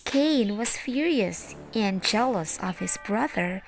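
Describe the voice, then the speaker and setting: A female teacher tells a children's Bible story at a very unhurried pace. She speaks straight to young listeners in deliberate phrases, over faint music. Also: mid-range voice, lively intonation, low-quality recording.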